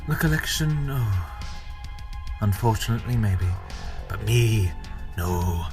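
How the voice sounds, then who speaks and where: An actor playing a gloating, taunting villain in an audio drama, with a drawn-out, mocking, theatrical delivery. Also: male voice, lowish voice, expressive, over music. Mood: gloating menace.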